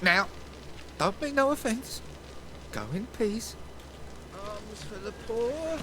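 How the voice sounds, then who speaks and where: High-voiced surly beggar. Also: male voice, expressive, slow. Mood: pleading. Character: wheedling, cynical crippled beggar man in a medieval city. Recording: noisy game street ambience.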